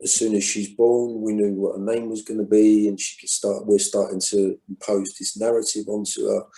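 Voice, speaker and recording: Male guest, low warm voice, muses slowly with lots of restarts, echoey room. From England. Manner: thoughtful, many restarts and fillers. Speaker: guest poet. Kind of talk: reflective conversation.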